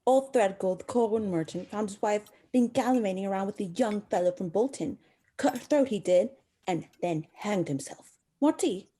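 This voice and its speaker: A woman acts out a tart, gossipy country housekeeper for a radio play. She speaks in a folksy, brisk way, with a soft, mellow tone at medium pitch. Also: breathy edge, close to an everyday voice.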